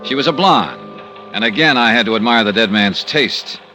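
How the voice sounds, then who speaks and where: A man's voice: on the low side, wildly swooping pitch, moderate pace, over music. An actor playing a wry, hard-boiled male insurance investigator in a 1950s radio drama, talking in a dry, clipped, sardonic way.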